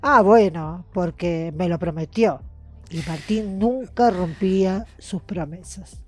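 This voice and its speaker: A woman's voice: fairly low pitch, slow pace, faint music behind. Tender, motherly Argentine older woman. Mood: fond, wistful. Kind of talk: audio drama acting.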